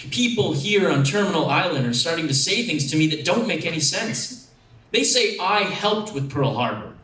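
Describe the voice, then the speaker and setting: A man plays a teenage boy confiding in his wartime journal, earnest, bewildered and hurt. The voice is a little high, in a roomy, echoing space away from the microphone. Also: a bit bright. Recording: echoey room, distant microphone.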